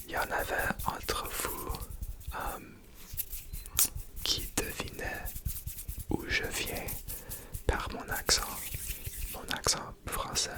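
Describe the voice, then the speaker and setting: A young man with a Franco-Ontarian accent whispers close and unhurried, as in an ASMR video. His voice stays almost fully breathy and unvoiced, taking its time over every phrase. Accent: Franco-Ontarian French. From Ontario, Canada. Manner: soft unvoiced whisper, slow and gentle. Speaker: ASMR creator.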